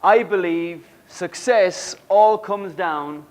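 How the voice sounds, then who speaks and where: A man giving a motivational workshop speech in an emphatic, earnest manner, deliberately repeating key lines. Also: high-pitched, rough recording. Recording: music behind the voice.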